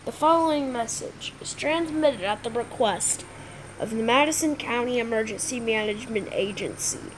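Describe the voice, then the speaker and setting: A woman performing an amateur scripted emergency roleplay, halting and often repeating phrases, with read-out announcements. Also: on the high side, slight background noise, poor audio. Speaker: creator performing a hobby emergency alert roleplay.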